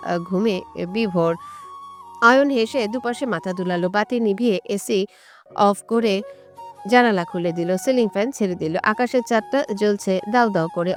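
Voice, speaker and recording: A woman narrates a romantic story in scripted audio-fiction style. Her voice sits at a middle pitch, with music playing softly behind it. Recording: music bed under the voice.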